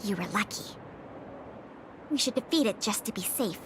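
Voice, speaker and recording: An actress voicing a prim, cautious young female intelligence officer in an anime-style game, speaking in an earnest, precise, crisply formal way. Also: mid-range voice, animated, moderate pace, noisy background, lightly acted. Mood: cautious, serious.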